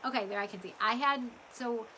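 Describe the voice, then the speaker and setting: A woman's voice: a little high, a little fast, pushed and bright, slight background noise, rough recording. A woman chats casually and ramblingly to customers on a livestream, her voice a little strained from talking. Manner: rambling, chatty. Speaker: small business owner hosting a live update.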